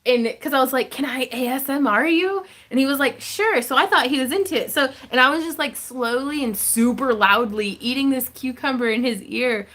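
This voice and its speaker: A giggly, talkative woman answers viewer questions in a home craft room. Her voice sits a touch high and tumbles along with plenty of fillers. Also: a little fast, hardly any pauses, a bit bright. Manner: chatty, giggly, lots of fillers. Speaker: craft channel host. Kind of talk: livestream Q&A chat.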